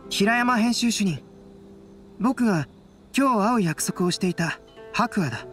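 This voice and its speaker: An actor playing a calm, analytical young male artist and scholar in a Japanese anime game, measured and reflective. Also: sits high, faint music behind. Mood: composed.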